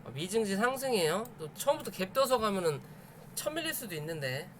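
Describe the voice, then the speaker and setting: A man's voice: sits high, sing-song, fairly quick, a little hiss or hum. A man explaining charts to viewers in a conversational, emphatic live commentary, often repeating his points. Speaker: stock chart analysis streamer.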